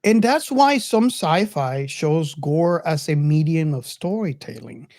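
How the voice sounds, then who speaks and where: A man's voice: on the high side, animated, measured pace, darkish tone, no echo, studio quality. A middle-aged man co-hosting a podcast in casual film discussion, reflective and often searching for his words. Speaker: podcast co-host.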